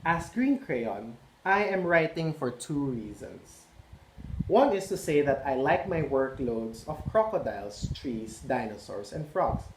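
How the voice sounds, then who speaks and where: A man's voice: medium pitch, very animated, a little slow. A man doing a polite green crayon. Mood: content. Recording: echoey room, faint noise.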